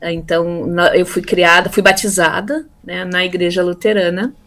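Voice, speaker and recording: A woman's voice: lowish voice, fairly even intonation, normal speed, fairly bright tone. Hesitant middle-aged woman recalling her past. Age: 50s. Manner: hesitant, many fillers and repeated words. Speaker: interviewee, theology graduate. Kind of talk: casual conversational interview.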